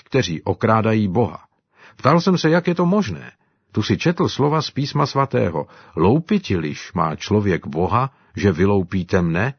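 Jubilant devout villager, played by a man, high and eager in tone, husky and dull-sounding. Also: no room sound, full character voice. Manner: excited, exclaiming. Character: cheerful grateful African man, warmly devout.

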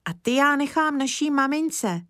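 A woman's voice: high voice, fairly bright tone, dry recording, voice pushed up. A cheeky little village boy played by a woman chatters in a raised, childlike voice. He talks eagerly, reciting his lines like a storybook child, recorded close and dry.

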